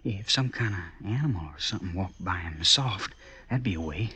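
An actor playing a nervy, chattering young outlaw in a classic radio western, with a folksy, excitable way of talking. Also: male voice, sits low, normal speed, husky, full character voice. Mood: scheming.

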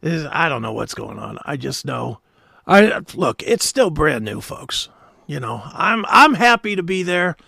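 A man with a notably high voice and very lively pitch chats casually as a show host. His delivery is informal and enthusiastic, with a gravelly creak. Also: unhurried, no echo, studio quality. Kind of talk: casual chat.

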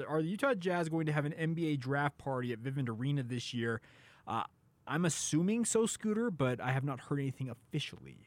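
An American man hosting a sports radio show in a casual, conversational style. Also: on the high side. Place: radio studio.

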